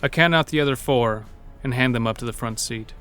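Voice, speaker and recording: A man playing a weary, brooding character who narrates his own story in an introspective, measured way. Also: mid-range voice, fairly bright tone, faint music behind, natural voice. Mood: brooding, wistful. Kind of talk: first-person audio drama narration.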